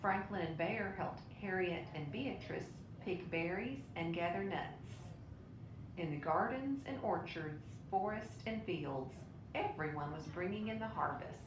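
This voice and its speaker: A woman reading a children's picture book aloud in an expressive way. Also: unhurried. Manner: expressive, with brief asides to listeners. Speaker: storybook reader. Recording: roomy echo, clear low rumbling noise.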